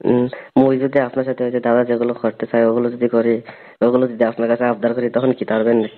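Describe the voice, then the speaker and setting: A man's voice: medium pitch, flat delivery, fast, natural voice. Hesitant young brother-in-law on tinny phone man acting. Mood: hesitant, eager. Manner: hesitant, questioning, suggestive. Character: hesitant but eager young brother-in-law, shyly probing. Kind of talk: staged phone-call dialogue. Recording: tinny narrowband phone line.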